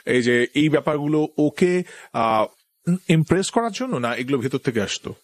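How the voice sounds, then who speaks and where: A man's voice: fairly high pitch, expressive, normal speed, dry recording. A man with a Bangladeshi accent hosting a conversational radio interview, asking probing questions. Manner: probing questions with frequent acknowledgements. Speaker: radio show host interviewing guests.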